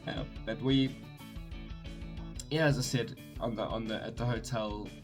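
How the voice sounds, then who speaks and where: A man's voice: medium pitch, steady tone, a little slow, music in the background. A young man from South Africa with a South African accent, chatting casually to camera in his room, rambling and correcting himself as he goes. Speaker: vlogger. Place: his bedroom.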